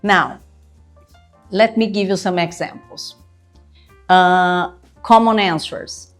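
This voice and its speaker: Brazilian accent lady coaching people, kinda low voice, earnest, keeps asking right?. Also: slow pace, soft background music. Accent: Brazilian Portuguese. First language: Portuguese. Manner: earnest, emphatic, checks in with "right?". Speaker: interview coach. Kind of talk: instructional video talk.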